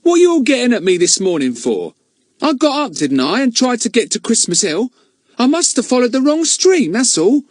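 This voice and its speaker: A man's voice: very high-pitched, big pitch swings, moderate pace, no room sound, clean studio sound, voice pushed up, exaggerated voice. A man reading aloud and voicing a sulky, stubborn boy who protests indignantly. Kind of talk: audiobook character voice.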